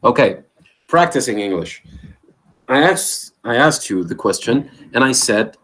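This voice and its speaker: A man's voice: mid-range voice, expressive, a little slow, fairly bright tone, rough recording. A man giving an interactive online language lecture as the trainer, quizzing a participant and affirming his answers over a video call. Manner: questioning, affirming with "there you go".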